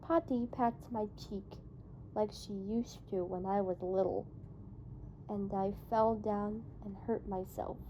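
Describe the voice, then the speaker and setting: A woman reading a novel in verse aloud as an amateur, with a halting delivery that stumbles and repeats phrases. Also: mid-range voice, fairly even intonation, warm tone, slight background noise, rough recording. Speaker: amateur book reader.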